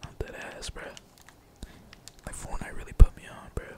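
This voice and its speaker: A young man keeps up a casual, whispered commentary as he plays a game. His voice is all breath with hardly any tone behind it. He rambles in heavy slang and swears freely. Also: moderate pace. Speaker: gaming streamer.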